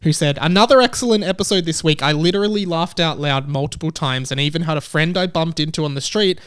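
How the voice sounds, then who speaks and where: A man's voice: fairly high pitch, quick pace, fairly bright tone, clear, resonant tone. A man hosting a podcast in casual, comedic chat, informal and chummy, often laughing. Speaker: podcast host.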